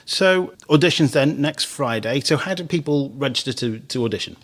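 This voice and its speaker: A man's voice: mid-range voice, lively intonation, moderate pace, a bit bright. A middle-aged man hosting a theatre podcast, chatting casually and restarting on repeated words. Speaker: podcast host. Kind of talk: casual radio interview chat.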